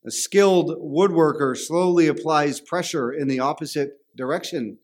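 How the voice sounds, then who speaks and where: Pastor, male, Canadian accent, voice sitting a touch high, relaxed conversational sermon delivery. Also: normal speed. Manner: conversational storytelling preaching.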